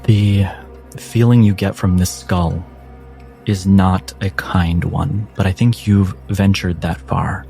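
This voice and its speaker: Deep, slow-narrating male game master. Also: steady tone, gravelly, dark tone, faint music behind. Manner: deliberate, dramatic pauses. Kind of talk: atmospheric tabletop game narration.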